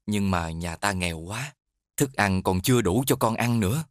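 A man's voice: fairly low pitch, animated, moderate pace, no room sound, studio quality, close to an everyday voice. Actor as a worried peasant father. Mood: gentle, worried. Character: poor, worried peasant father of a little girl. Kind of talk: animated fairy-tale dubbing.

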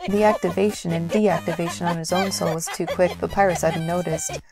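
A woman's voice: lowish voice, steady tone, a little fast. A woman reading scripted story narration as the narrator of an audio drama. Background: music.

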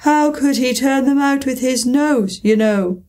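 A woman voicing the Mock Turtle, a weepy, melancholy male creature who talks and sings slowly between sobs. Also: high-pitched, darkish tone. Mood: plaintive. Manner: slow, drawn-out, sobbing song.

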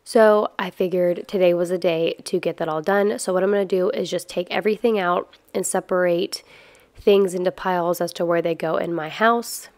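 A woman's voice: on the low side, steady tone, moderate pace, a little mellow. A woman vlogger giving a casual, chatty, conversational voice-over about everyday life at home. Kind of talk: casual scripted-feel vlog voice-over.

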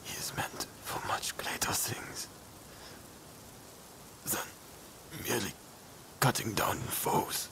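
An actor playing a dying, remorseful killer swordsman in a video game, breathy and labored, pleading in broken phrases. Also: slow, long pauses, in a whisper, natural voice. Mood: weak pleading. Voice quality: breathy, gravelly. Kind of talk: video game cutscene acting.

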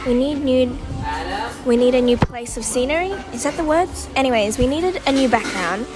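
A woman's voice: sits high, moderate pace. Chatty woman vlogging. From Australia. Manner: chatty, candid, self-correcting asides. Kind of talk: casual vlog chat to camera. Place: home and around the city, indoors and out. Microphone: handheld camera close to the face.

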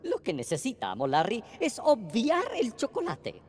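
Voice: mid-range voice, wildly swooping pitch, moderate pace, a little mellow. A performer voicing a prim, devoted male butler in a Latin American Spanish cartoon dub, formal and admonishing. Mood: concerned, scolding. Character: fussy, devoted asparagus butler, mature male. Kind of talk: animated cartoon dubbing.

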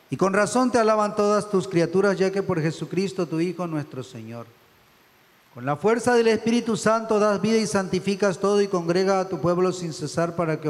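A middle-aged man speaks as a priest at the altar, reciting prayers solemnly. His voice sits fairly high and carries a long church reverb. The phrasing is measured and reverent. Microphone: stand microphone at the altar.

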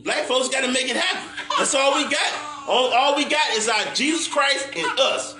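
A man's voice: very high voice, normal speed, background noise. A middle-aged American man bantering casually on a livestream in a slangy, profane, boastful way, repeating himself. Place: living room, indoors. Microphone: phone across the room. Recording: echoey room, away from phone.

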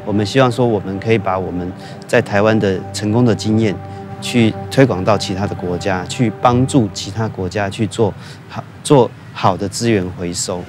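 Low-voiced official speaking deliberately. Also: male voice, music in the background. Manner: deliberate, halting, many fillers. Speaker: government official. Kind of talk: interview. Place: outdoors in a park.

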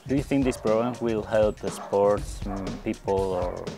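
A man's voice: on the low side, a little slow. A middle-aged man interviewing a guest outdoors, asking brief, polite questions in English. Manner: brief, polite prompts with short acknowledgments. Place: outdoor terrace, hillside view. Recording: music clearly heard under the voice.

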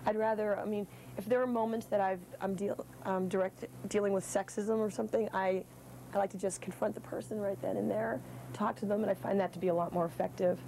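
A woman's voice: mid-range voice, steady tone, a little fast, vocal fry, warm tone, a little hiss or hum, poor audio. A young woman musician in a documentary interview, firm in opinion but hesitant, with many restarts.

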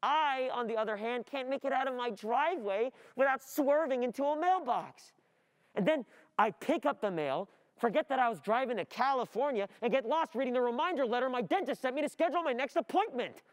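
A woman's voice: sits high, animated, a little fast. Anxious young student played by a woman, reverberant hall. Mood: anxious, wistful. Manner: agitated, rambling inner monologue, repeating words. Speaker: actor playing a student. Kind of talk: theatrical radio-play monologue. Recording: long hall reverb of a stage.

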